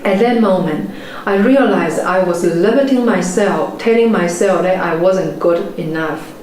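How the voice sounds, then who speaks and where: A woman in her forties, a native Chinese speaker with a Chinese accent, giving a measured, earnest motivational talk as a property investment mentor. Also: fairly low pitch, darkish tone. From China. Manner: measured, earnest storytelling delivery. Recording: very echoey room, faint background noise.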